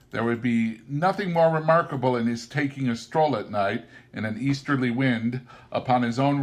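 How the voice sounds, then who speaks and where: A man reading a classic story aloud as a narrator, with deliberate, theatrical and emphatic phrasing. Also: mid-range voice. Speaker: audiobook narrator.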